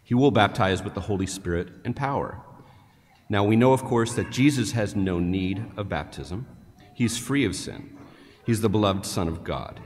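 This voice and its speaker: Older male deacon, homily, lowish voice, measured reading, long church reverb, faint room hiss. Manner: measured, reads from a prepared text. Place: large cathedral, indoors. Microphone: headset mic, standing at a lectern.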